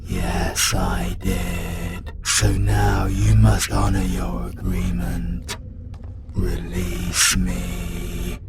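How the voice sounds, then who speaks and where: An ancient demon god, put on by a British man, speaks in a deep, gravelly voice and drags every word out slowly. He sounds cold and commanding, as if the world already belongs to him. In this fantasy audio roleplay, loud ambient noise runs behind him. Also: over loud music, exaggerated voice. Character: ancient male demon god possessing a hunter, menacing.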